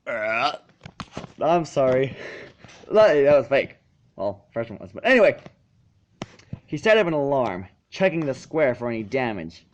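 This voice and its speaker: A man's voice: mid-range voice, very animated, unhurried, long pauses, lo-fi sound. A man narrating fan fiction as an amateur reader and channel host, now and then tripping over words and correcting himself. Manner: stumbles over lines and self-corrects. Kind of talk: amateur fan fiction reading with casual asides.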